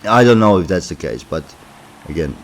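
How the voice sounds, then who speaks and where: A man's voice: sits low, sing-song, normal speed, a little hiss or hum. A man with a sore throat from a cold, giving casual commentary on his racing game as he plays, thinking aloud with many fillers. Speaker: gaming commentator.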